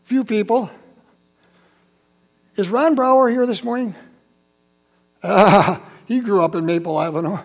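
Gravelly-voiced older man telling church stories. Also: high-pitched, measured pace, pauses often. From Holland, Michigan. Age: 70s. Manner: conversational, chatty asides to congregation. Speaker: church speaker. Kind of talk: personal sermon storytelling.

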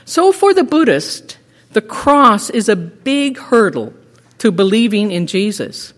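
A middle-aged woman giving a measured, earnest sermon in a church sanctuary, with a teacherly way of explaining. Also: medium pitch, big pitch swings. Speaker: lay preacher. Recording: echoey sanctuary with long reverb.

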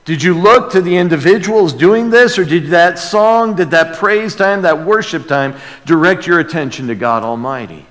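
A man's voice: fairly high pitch, moderate pace, hardly any pauses, a bit bright. A man preaching a sermon, speaking emphatically with repeated key words and rhetorical questions. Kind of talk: expository sermon. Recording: hall with long reverb.

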